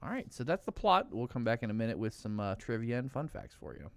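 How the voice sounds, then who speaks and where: A man's voice: medium pitch, big pitch swings, fast talker, very noisy. A man hosting a podcast in a casual comedic style, with an irreverent, sweary, joking manner. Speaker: podcast host. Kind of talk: casual comedic chat, recapping a film.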